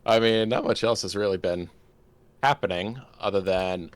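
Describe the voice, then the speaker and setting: Male podcast co-host, low-pitched, conversational, some clicking and typing nearby. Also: fairly even intonation, normal speed. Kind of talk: casual chat.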